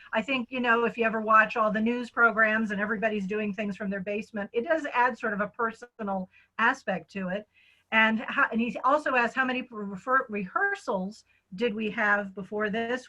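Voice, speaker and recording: A woman's voice: fairly high pitch, pushed and bright, poor audio. A woman chatting and explaining in a casual way as she hosts a theatre discussion over a video call. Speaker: radio theatre director hosting a post-show talk. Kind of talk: casual panel talk. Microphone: video call microphone.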